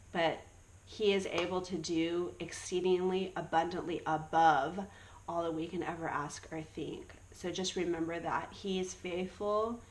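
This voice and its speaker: A woman's voice: lowish voice, low-quality recording. A woman in her early thirties sharing her personal faith testimony in an earnest, encouraging way. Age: 30s. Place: Australia.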